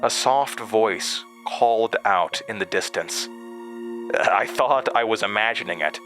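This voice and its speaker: An actor playing a haunted man recounting a terrifying memory in a horror audio drama, halting and hesitant. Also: on the low side, over music, natural voice. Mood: haunted, tense. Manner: halting, hesitant, with stammered restarts.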